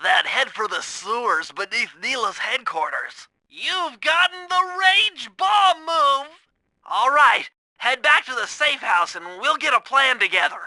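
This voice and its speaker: A man voicing a nerdy, earnest young turtle who plans heists in an animated video game, with a nasal voice and a precise, technical, eager way of briefing. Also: extremely high pitch, unhurried, dry recording, exaggerated voice. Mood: excited.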